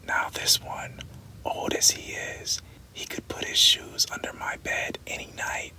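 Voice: unhurried, sharp tone. A whisperer voicing brash bawdy Edna. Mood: teasing. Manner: whispered throughout. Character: brash bawdy young woman named Edna. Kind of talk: whispered audiobook reading.

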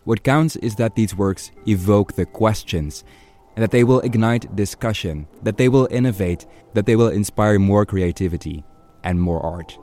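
A man's voice: lowish voice, normal speed, dark tone. A man hosting a podcast, reading scripted narration in an explanatory way. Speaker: podcast host.

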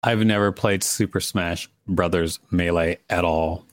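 A man's voice: sits low, fairly even intonation, measured pace, dry recording, studio quality. A man co-hosting a podcast, reading an article aloud with informal, teasing banter. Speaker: podcast co-host.

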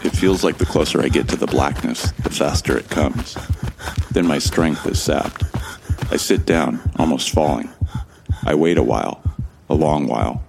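Male actor as a grim lead narrator, very deep and gravelly. Audio drama. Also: normal speed, music in the background. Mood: resolute. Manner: grim, resigned first-person telling. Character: determined young man running toward doom. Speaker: first-person narrator and lead character.

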